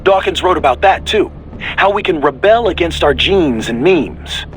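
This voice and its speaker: Man acting an animated, high-voiced intellectual advisor. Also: normal speed, a little hiss or hum, natural voice, low-quality recording. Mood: thoughtful. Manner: explanatory, emphatic. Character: intellectual male mission advisor, animated, philosophical. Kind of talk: video game voice acting.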